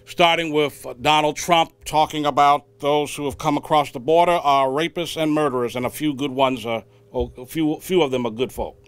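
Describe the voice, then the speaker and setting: Clear-voiced man making an emphatic public statement. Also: a little high, steady tone, moderate pace, few pauses, no echo. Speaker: civil rights speaker at a news conference. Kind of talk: public statement, impassioned speech.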